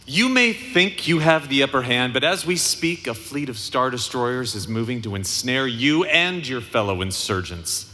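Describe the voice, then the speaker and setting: An actor playing a haughty, menacing imperial captain in a live audio drama, pompous and threatening. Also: male voice, medium pitch, lively intonation, moderate pace, few pauses, bright, forceful tone. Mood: cold menace. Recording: reverberant live hall sound.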